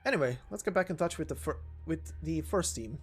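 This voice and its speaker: A wary soldier on a snowy border patrol in a game story, played by a man. The husky voice moves around a lot in pitch and talks in terse, questioning bursts, with faint music behind. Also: expressive, fairly quick. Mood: puzzled, alert.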